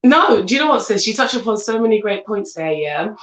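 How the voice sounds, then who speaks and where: A woman's voice: medium pitch, fairly quick. Earnest London woman, echoey room. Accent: London English. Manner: earnest and animated, many fillers like "like" and "do you see what I'm saying". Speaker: guest, coach and entrepreneur. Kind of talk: casual chat.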